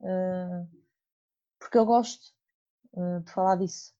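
Mellow-voiced woman with a Porto accent. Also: lowish voice, fairly even intonation, slow pace. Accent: northern Portuguese (Porto). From Porto, northern Portugal. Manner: hesitant, thinking aloud with many restarts and fillers. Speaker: podcast host. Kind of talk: conversational interview. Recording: dull lo-fi recording.

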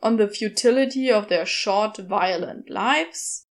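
A woman's voice: medium pitch, unhurried, natural voice. A woman voicing a grumbling, sardonic male demon in a velociraptor's body, rambling and exasperated, in a storybook reading. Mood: incredulous, ranting. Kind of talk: storybook character voice in audio fiction.

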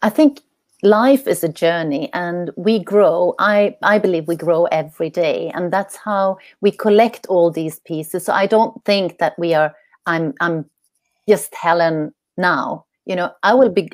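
A woman's voice: lowish voice, unhurried, darkish tone, dry recording, clean studio sound. Earnest middle-aged woman from Sweden. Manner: earnest, searching for words, many "you know"s. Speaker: guest, coach. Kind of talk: telling her life story in an interview.

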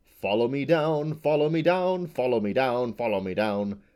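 A man's voice: on the low side, expressive, a little slow, dark tone. A man voicing a flighty, swaggering male butterfly who chants and sings jumbled scraps of songs and verse. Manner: sing-song, chanting, jumbled recitation. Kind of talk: audiobook character voice.

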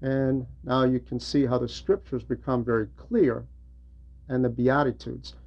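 A man's voice: medium pitch, flat delivery, a little slow, a little mellow, highs cut off. A man delivering an explanatory religious homily in a didactic way, repeating phrases for emphasis. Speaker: preacher and religious teacher.

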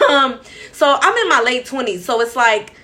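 A woman in her late twenties chats casually as a co-host, her voice bright and a little high. She talks loosely, with plenty of fillers and an easy laugh. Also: big pitch swings, measured pace. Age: late 20s. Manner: chatty, filler-heavy, laughs easily. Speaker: podcast co-host. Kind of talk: casual chat.

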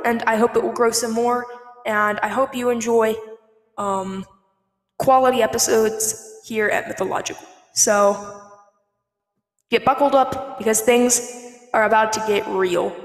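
A woman with a fairly high voice addresses podcast listeners as host. She speaks earnestly and leans on key words. A filter leaves her voice very echoey, with a long reverb tail. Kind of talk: scripted-feeling podcast announcement to listeners.

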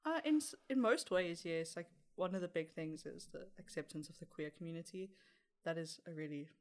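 A woman's voice: on the low side, a little fast. Chatty young South African woman. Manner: chatty, frequent light laughs. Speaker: interview guest. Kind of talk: casual interview answers.

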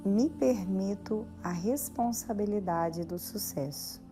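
A Brazilian woman leading a guided meditation in a soothing, measured way with long pauses. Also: mid-range voice, poor audio. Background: background music.

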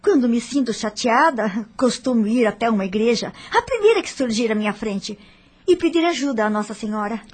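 Anxious devoted young widow, radio soap, high tender voice, very earnest. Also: female voice, expressive, brisk, a little mellow, husky. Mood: earnest, curious. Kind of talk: radio drama acting.

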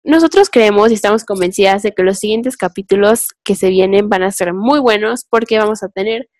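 A woman's voice: mid-range voice, a little fast, darkish tone. A young woman, co-hosting a podcast and rambling through a casual philosophical chat, thinking aloud with many fillers. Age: young adult. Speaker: podcast co-host.

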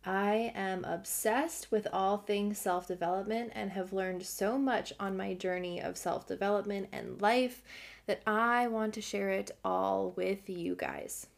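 A young adult woman hosting a self-help podcast, earnest and encouraging, her voice slightly hoarse from a cold. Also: mid-range voice, fairly even intonation, a little slow. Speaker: podcast host.

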